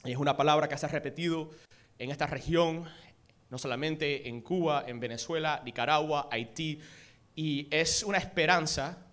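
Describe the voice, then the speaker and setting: A man's voice: on the high side, moderate pace, a bit bright. Fervent man preaching in a church. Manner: mixes in English words, intense rising prayer. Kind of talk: church sermon and prayer.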